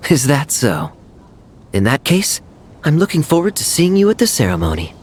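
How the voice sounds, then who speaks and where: An actor playing a suave, teasing adult male knight in a game, smooth and given to chuckling. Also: fairly high pitch, wildly swooping pitch, moderate pace, a little mellow, slight background noise. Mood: wry. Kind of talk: game cutscene voice acting.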